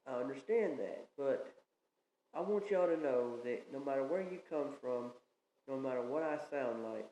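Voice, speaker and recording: A man's voice: a little high, mellow, muffled recording, a little hiss or hum. A man in his thirties with a Southern US accent, preaching an informal message in an earnest, rambling, plain-spoken way. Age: 30s. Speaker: pastor. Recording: echoey room with faint ambience.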